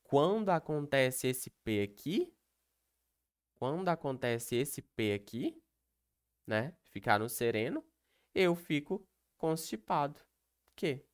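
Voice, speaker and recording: A man's voice: fairly high pitch, very animated, takes it slowly, long pauses, clean tone. Casual man teaching a lesson. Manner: explains step by step, checks in with "beleza?" and "ok?". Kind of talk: recorded online lecture.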